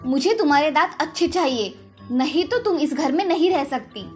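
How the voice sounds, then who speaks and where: A woman's voice: high voice, lively intonation, normal speed, faint music behind. Woman voicing a stern, scolding storybook mother-in-law. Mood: angry, scolding.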